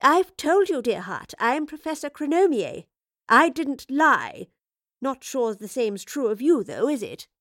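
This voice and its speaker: A woman's voice: high voice, unhurried, no room sound, clean studio sound, voice pushed up, full character voice. A woman reading a story, voicing a playful, eccentric female professor who teases and flirts. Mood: teasing. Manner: teasing, flirtatious, lively. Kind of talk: audiobook reading.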